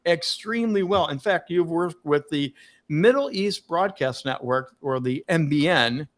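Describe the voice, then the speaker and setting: A man's voice: fairly high pitch, unhurried. A man hosting a live-streamed talk show, speaking in an upbeat, emphatic, promotional manner over a video call. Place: home studio, Washington DC.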